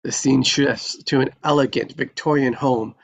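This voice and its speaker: A man with a gravelly voice reading scene descriptions from a screenplay aloud at a live table read. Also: mid-range voice, steady tone, moderate pace. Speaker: reader of stage directions at a table read.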